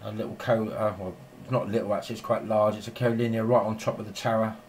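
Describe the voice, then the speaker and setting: A man's voice: on the low side, level tone, fast talker, a little mellow, a little hiss or hum. A man chatting casually and in a rambling way as a radio operator filming in his home shack. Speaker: amateur radio operator and video maker.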